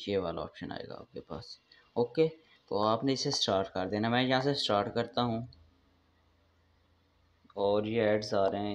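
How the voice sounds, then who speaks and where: A man hosting a tech tips channel, narrating a casual step-by-step app tutorial to his viewers. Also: fairly low pitch. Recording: dull, lo-fi voice-over recording.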